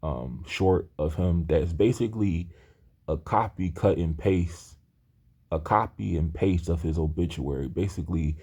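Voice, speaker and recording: A man's voice: sits low, warm tone, husky. A man in his thirties talking in a rambling personal monologue, earnest and hesitant, with many restarts. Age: 30s. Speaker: aspiring screenwriter talking to camera.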